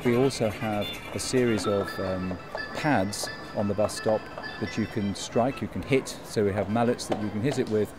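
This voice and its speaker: A man's voice: on the low side, moderate pace, loud music behind the voice. An older man, a university researcher, explaining a project earnestly on a busy street, asking rhetorical questions. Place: outdoors on a busy city street.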